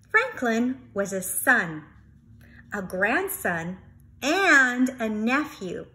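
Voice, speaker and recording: A woman reads aloud for young children like a teacher, slowly and with lively swings in pitch. Her voice is bright, and she guides listeners with questions and repeated key words. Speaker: reader of a children's book, teacher.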